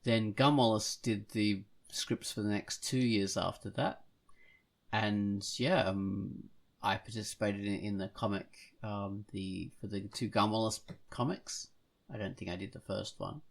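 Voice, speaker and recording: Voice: deep voice, fairly even intonation, measured pace, vocal fry. A podcast host of unclear gender chatting casually and effusively, with an enthusiastic manner.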